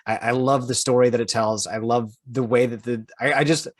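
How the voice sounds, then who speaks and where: A man's voice: mid-range voice, fairly even intonation, brisk, no room sound, clean studio sound. A man co-hosting a podcast, talking in an informal, chatty way. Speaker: podcast co-host. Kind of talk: casual podcast chat.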